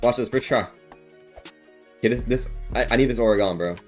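Casting: male gaming creator, mid-low everyday pitch, slangy and animated, loud music behind. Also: brisk. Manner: slangy, animated, easily amused. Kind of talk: casual excited gameplay commentary. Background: game music and effects.

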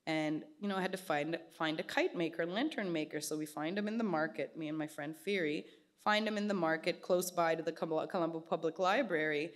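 A woman in her thirties, an artist guest in casual onstage conversation, telling stories in an animated way and acting out the voices of people she quotes. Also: low-pitched, a little fast. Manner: animated storytelling, gestures a lot, acts out quoted voices. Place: small gallery event space, indoors. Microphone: stand mic.

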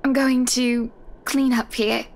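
A man voicing a young wife in a visual novel, in a raised, gentle voice. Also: extremely high pitch, level tone, slow, gravelly, pitched above the performer's own voice, full character voice. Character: young adult wife Hannah, gentle and wry.

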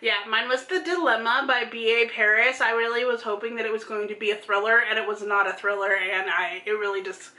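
A woman's voice: a little high, steady tone, brisk, few pauses, pushed and bright. A woman in her thirties chatting casually about books as a vlog co-host, gushing and emphatic. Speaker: book vlogger, co-host. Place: small room at home. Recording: roomy home video sound.

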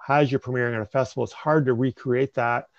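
A man in his forties moderates an online panel with a measured, hesitant delivery full of fillers. His voice is gravelly, and the sound is dry and slightly dull, as from a webcam in a home study. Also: medium pitch, steady tone, no echo. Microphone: webcam mic on video call. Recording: dry, slightly dull video call audio.

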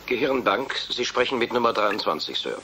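A man's voice: medium pitch, very animated, fairly quick, sharp tone, a little hiss or hum. A male actor playing a formal, deferential disembodied brain that does technical calculations in a German radio play. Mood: formal, obliging. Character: servile, precise disembodied brain serving as calculating machine.

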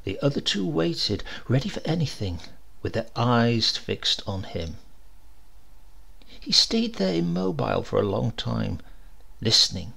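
A man reading a comic short story aloud as an expressive audiobook narrator. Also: mid-range voice, unhurried, creaky, husky.

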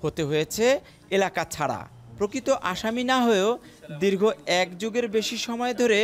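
A man narrating a television news report in a measured way, with sentences that fall at the end. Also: high-pitched, animated. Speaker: field reporter.

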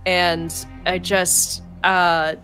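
A woman's voice: on the low side, little pitch movement, extremely slow, bright, forceful tone, soft background music. A young woman co-hosting a game stream, chatty and upbeat with a slight cold, laughing and saying "like" a lot. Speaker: streamer, co-host. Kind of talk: casual chat while gaming.

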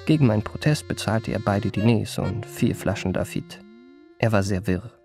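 A man's voice: lowish voice, moderate pace, gravelly, over music. An actor playing a suave, self-possessed young confidence trickster, narrating his memoirs in polished literary style for a radio play. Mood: polished, composed. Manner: polished, courteous, composed.

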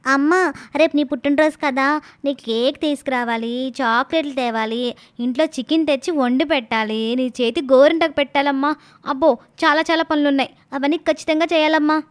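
A woman's voice: high-pitched, fairly even intonation, fairly quick, lightly acted. A woman voicing an eager, cheeky young village daughter with a childlike sing-song way of talking, in a story cartoon. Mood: eager, pleading. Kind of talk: animated moral story dialogue.

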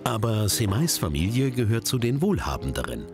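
A man's voice: on the low side, sing-song, brisk. A man narrating a documentary in a scripted voice-over. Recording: narration over background music. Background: background music.

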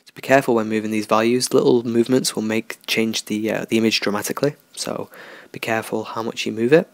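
A man talking through a software tutorial in a relaxed, unscripted way, thinking aloud as he works. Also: on the low side, quick pace, creaky, dry recording. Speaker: tutorial host. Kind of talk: screen-recorded software tutorial.

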